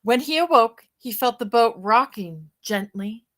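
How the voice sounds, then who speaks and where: A woman's voice: a little high, animated, unhurried, a bit bright. A woman reading a picture book aloud as a teacher-storyteller, stretching out words and pointing out details to young listeners.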